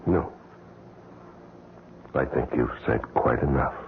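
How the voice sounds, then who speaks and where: Gravelly, guilty gentleman killer. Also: rumbling low, slow pace, a little hiss or hum, heavily acted. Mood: dry, grim. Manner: dry, incredulous, dramatic swings. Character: guilty wife-killer, wry gentleman cornered by blackmail. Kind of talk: 1970s radio drama acting. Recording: narrow-band old radio recording, faint music.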